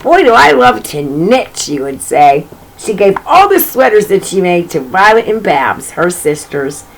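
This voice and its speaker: A woman's voice: on the low side, very animated, a little slow, gravelly, slight background noise, low-quality recording. A woman hosting a read-aloud for children, chatting directly to her young listeners with asides and repeated phrases as she reads a picture book. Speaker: children's story reader and host.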